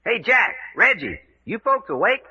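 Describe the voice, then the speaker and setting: An actor playing an easygoing, unafraid cowboy adventurer with a folksy Southern drawl in an old-time radio serial. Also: male voice, high-pitched, big pitch swings, a little slow, bright, forceful tone, husky, exaggerated voice. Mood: folksy grumbling. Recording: muffled, noisy old radio recording.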